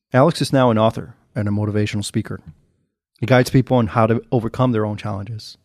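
A man hosting a podcast, reading scripted narration in a measured, earnest way. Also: fairly low pitch, vocal fry, darkish tone, no echo, clean studio sound. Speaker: podcast host.

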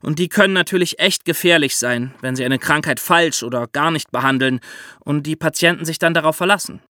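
A man's voice: fairly high pitch, a little fast, sharp tone, no echo, clean studio sound. A man hosting a podcast, telling history in a conversational storytelling style. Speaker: podcast host.

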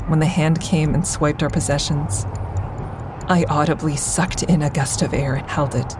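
An actress playing a traumatized woman survivor in an audio drama, recounting her ordeal in a measured, deliberate way. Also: sits low, fairly even intonation, background noise, close to an everyday voice. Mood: somber, haunted. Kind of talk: full-cast audio drama monologue.

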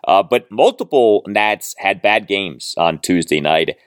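A man hosting a sports show, giving recap commentary in an emphatic, deliberate way with clipped, stat-heavy phrasing. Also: low voice, lively intonation, smooth, no room sound, clean studio sound. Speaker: sports podcast host.

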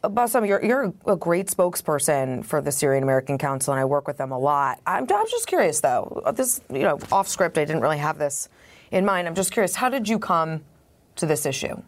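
Deep-voiced woman hosting an interview. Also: sing-song, brisk. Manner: direct, conversational questions. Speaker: podcast host.

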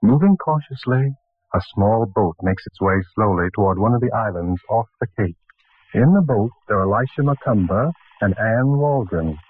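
An actor playing a librarian narrator of 1940s radio mysteries, measured and storyteller-like. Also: male voice, on the low side, lively intonation, husky. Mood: calm, intriguing. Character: atmospheric male librarian narrator introducing a mystery book. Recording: muffled old radio recording.

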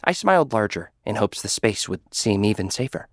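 Man, audio drama narrator, sardonic, arrogant, low voice, dry close recording. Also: very animated, normal speed, dry recording. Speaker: narrator and lead character. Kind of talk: scripted first-person audio drama narration.

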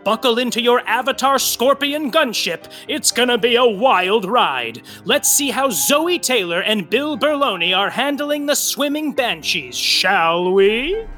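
A woman's voice: fairly high pitch, lively intonation, measured pace, few pauses, fairly bright tone, soft background music. A woman playing a wry, chatty narrator of a backstage theatre comedy podcast, with mock-dramatic flair.

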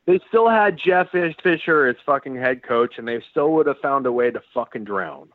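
A man's voice: medium pitch, lively intonation, normal speed, no room sound, phone-quality sound. A man co-hosting a casual sports podcast, emphatic and swearing freely. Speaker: podcast co-host.

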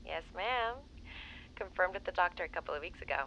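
Audio drama friend: affectionate, teasing young mother, animated swoops, dull phone-filtered sound. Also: lowish voice, fairly quick. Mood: teasing, happy.

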